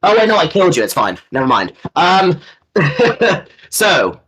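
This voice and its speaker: A man's voice: high voice, sing-song, unhurried, a bit bright, slightly rough. A playful, joking man co-hosting a casual chat, often repeating himself. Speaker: co-host.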